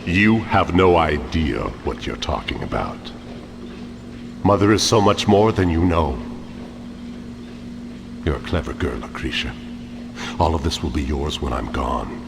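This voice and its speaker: An actor playing a menacing old crime-family patriarch in a video game, grandiose and commanding, with a deep gravelly voice. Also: male voice, lively intonation, noisy background, heavily acted. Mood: stern, commanding. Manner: slow, grandiose pronouncements. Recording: long reverb over rumbling machine ambience.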